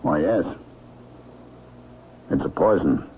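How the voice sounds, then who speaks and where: An actor playing a genial male doctor and loyal old friend, husky and earnest, in vintage radio drama style. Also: sits low, takes it slowly, slight background noise. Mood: concerned. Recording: narrow-band vintage radio recording.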